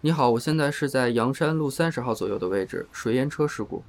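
A man's voice: mid-range voice, animated, fast talker, creaky, slight background noise. A man playing a reserved, awkward, dutiful young man in an audio drama, speaking hesitantly and tersely. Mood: flustered, matter-of-fact.

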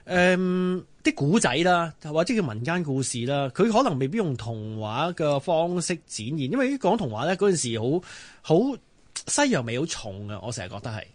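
A man's voice: on the high side, dry recording. A man with a Hong Kong Cantonese accent hosting and moderating a casual radio talk show.

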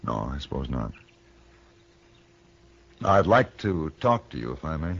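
A grave Western gunfighter, American and middle-aged, with measured courtesy. His low, gravelly voice moves expressively through the lines of an old radio drama with a dull sound. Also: very animated, heavily acted. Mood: somber, gentle. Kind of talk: 1950s radio western acting. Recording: muffled 1960 radio broadcast.

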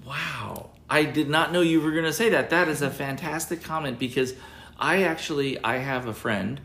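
A man's voice: fairly high pitch, normal speed, a bit bright, reverberant. A man hosting a podcast who asks curious, chatty questions and stumbles a little with "uh"s and repeated words. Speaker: podcast host. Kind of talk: conversational podcast interview.

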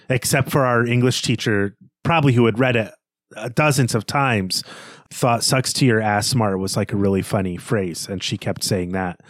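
A man hosting a podcast, talking analytically about literature in a halting, discursive way that circles toward his point. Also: fairly low pitch, no room sound, clean studio sound. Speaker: podcast host.

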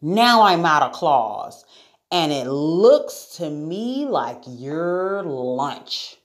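Woman voicing a bad-tempered, bossy storybook lion, slow and commanding. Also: low-pitched, big pitch swings, full character voice. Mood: menacing, jeering. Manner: roaring, snapping, jeering. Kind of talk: children's storybook character voice.

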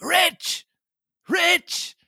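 A woman reading aloud and voicing a half-crazed old male castaway pirate, drawling in old sailor's dialect and shouting. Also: very high-pitched, drawn-out words, gravelly, no room sound, clean studio sound, voice pushed up, heavily acted. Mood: excited. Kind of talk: storybook read-aloud.

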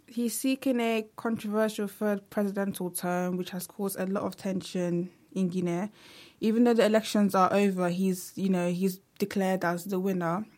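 A young woman talking straight to the camera in a casual, earnest way. Her pitch sits in an ordinary middle range, and she leans on "like" and "you know" as she searches for words. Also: darkish tone. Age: young adult. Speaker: vlogger.

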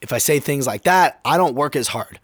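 Young man, hoarse from a sore throat, casual slangy podcast talk, rambling, dry close sound. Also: mid-range voice, lively intonation, fairly quick, dry recording. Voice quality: slightly hoarse. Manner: laid-back, slangy, rambling with fillers. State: sore throat, getting over being sick. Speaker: podcast host.

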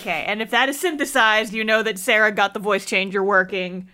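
A woman co-hosting a podcast, reacting with casual, playful banter and quick interjections. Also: mid-range voice, fairly even intonation, a crowd in the background. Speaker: podcast co-host.

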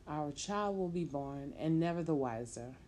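A woman doing a pleading young woman in a storybook, with a mellow voice. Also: fairly low pitch, a little fast, slight background noise, lightly acted. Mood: eager. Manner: pleading, emotional.

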